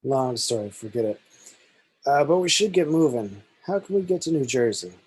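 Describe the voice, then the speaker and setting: Man playing a husky, cryptic guide. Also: medium pitch, expressive, moderate pace, darkish tone, no room sound, rough recording. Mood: laid-back. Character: cryptic, easygoing male prophet guide. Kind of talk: amateur screenplay table read.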